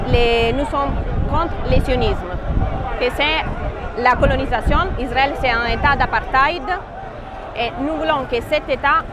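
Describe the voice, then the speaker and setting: A woman from Italy, speaking haltingly with restarts in a street interview at a rally. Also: high voice. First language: Italian. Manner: halting, searching for words. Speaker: rally participant. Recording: loud crowd and traffic, outdoor rally.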